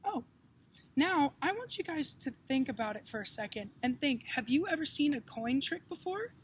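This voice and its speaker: A young woman with a high voice talks directly to children as a read-aloud presenter. She is encouraging and teacherly, and some background noise is audible. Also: lively intonation, moderate pace. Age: young adult. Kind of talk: instructional address to young children.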